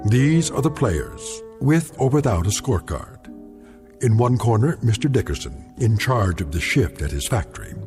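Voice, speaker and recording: A man narrating an eerie anthology radio drama as a solemn, wry host, with deliberate, portentous phrasing. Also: medium pitch, mellow. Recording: long reverberant hall sound, music behind.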